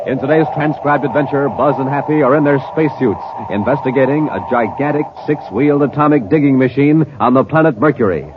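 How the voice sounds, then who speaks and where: A man's voice: mid-range voice, fairly quick, hardly any pauses. An American man announcing and narrating a 1950s radio show with a dramatic, emphatic showman delivery. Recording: muffled, noisy 1950s radio recording.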